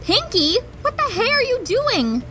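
A woman's voice: very high-pitched, very animated, measured pace, pitched above the performer's own voice, exaggerated voice. A woman voicing a sensible young female cartoon pony, questioning and exasperated. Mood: startled. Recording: music behind the voice.

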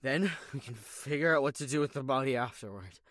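A man's voice: medium pitch, fairly quick, a bit bright, dry recording, clean studio sound, natural voice. An actor playing a wounded, strained man who leads an anxious group of amateur thieves in an audio drama. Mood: urgent.

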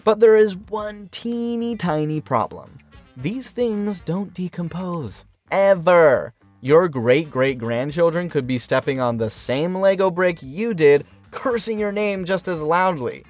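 A man's voice: high voice, animated, slow pace. A man reading a scripted countdown narration with wry, punchy, sarcastic asides. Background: faint background music.

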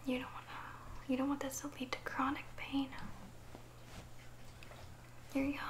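A woman playing an affectionate, gently teasing girlfriend in a soft, soothing ASMR roleplay, speaking close to the microphone. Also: high voice, completely flat delivery, slow, pauses often, a little hiss or hum. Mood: caring, soothing. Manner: soft, soothing, giggly, caring.

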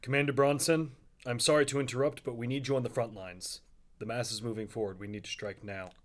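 A man's voice: medium pitch, steady tone, quick pace, natural voice. A man playing a dutiful, worried young soldier in a radio drama, urgent, clipped and deferential.

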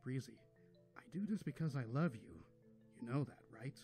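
A man's voice: mid-range voice, lively intonation, moderate pace, warm tone, soft background music, natural voice. A man playing a stern but loving royal father pony in a cartoon audio drama, theatrical and fatherly. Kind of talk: animated audio drama acting.

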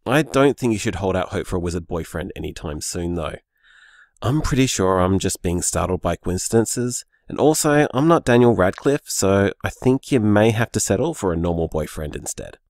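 A man's voice: sits low, animated, normal speed, a little mellow, dry recording, clean studio sound. A man playing an easygoing, sceptical young boyfriend in a naturalistic audio drama, conversational and hesitant. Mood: wry, affectionate. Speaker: podcast drama actor.

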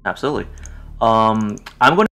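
A man's voice: on the low side, lively intonation, brisk, bright, forceful tone. A man running a tabletop game, casually explaining to players what to do. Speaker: game master. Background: music.

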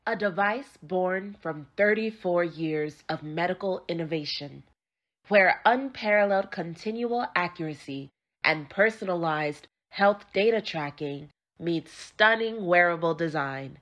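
A woman's voice: on the low side, unhurried, fairly bright tone, dull audio. A woman voice-over artist reading scripted commercial narration.

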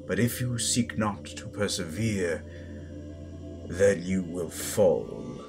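A low, very slow male voice acted by a man of a menacing Sith master in an audio roleplay. He instructs with deliberate, controlled authority, often sinking into a breathy near-whisper. Music plays clearly behind him. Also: big pitch swings, full character voice. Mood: stern, commanding. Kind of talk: slow guided-meditation roleplay, breathy dramatic monologue. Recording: voice over loud background music.

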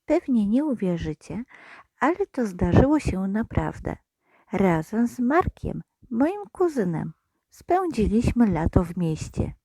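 Slow, soft-toned woman reading a children's story. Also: breathy edge, no room sound. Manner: slow, expressive reading with lively pitch turns. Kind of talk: children's audio story narration.

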